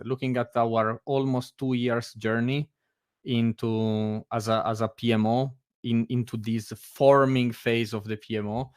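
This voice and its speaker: A man's voice: mid-range voice, smooth, no echo, studio quality. A man from Italy with an Italian accent, chatting casually on a video call and hesitating often with fillers and restarts. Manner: laughs often. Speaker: meetup guest. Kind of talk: casual chat.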